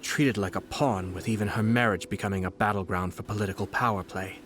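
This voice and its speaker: A man voicing a calm, shrewd young noble. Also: lowish voice, natural voice. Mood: composed. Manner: measured, composed and analytical. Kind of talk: game voice acting. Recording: game audio over loud background music.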